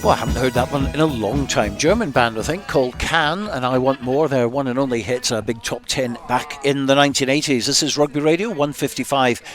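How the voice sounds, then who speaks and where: A man's voice: mid-range voice, a little fast, few pauses, over music. A man presenting live on sports radio.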